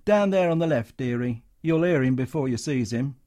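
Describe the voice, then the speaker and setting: A man's voice: medium pitch, expressive, moderate pace, warm tone, natural voice. A man voicing a brassy young music-hall soubrette.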